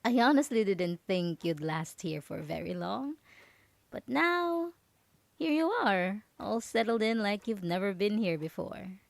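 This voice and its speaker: A woman acting as a possessive female roommate in an ASMR audio drama. She speaks slowly and haltingly, with nervous sweetness and feigned innocence. The sound is close and dry. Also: medium pitch, sing-song, creaky, dry recording. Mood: hesitant, soft. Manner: halting, nervous, giggly, hesitant with many trailing pauses.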